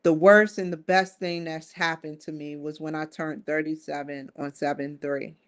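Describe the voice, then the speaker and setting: A woman's voice: sits low, steady tone, moderate pace. A woman in her forties hosting a live-streamed solo talk show, rambling earnestly with frequent restarts. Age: 40s. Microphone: streaming setup.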